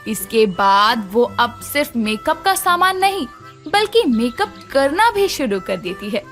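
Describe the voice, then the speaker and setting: A woman's voice: high voice, big pitch swings, measured pace, a bit bright, faint music behind. A woman narrating a scripted children's story.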